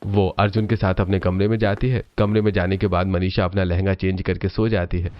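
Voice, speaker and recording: A man's voice: sits low, little pitch movement, a little fast, no echo, lo-fi sound. A man narrating a scripted cartoon family story as the storyteller.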